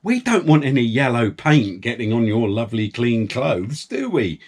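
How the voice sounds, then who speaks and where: A man's voice: medium pitch, sing-song, moderate pace, darkish tone, husky. A man voicing a friendly male village painter in a children's story, cheery and teasing.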